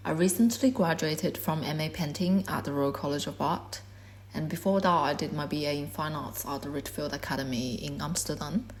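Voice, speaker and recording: A woman in her twenties whose first language is Korean gives a measured, reflective talk about her art. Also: low-pitched, slight background noise. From China. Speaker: artist. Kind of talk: prepared artist talk.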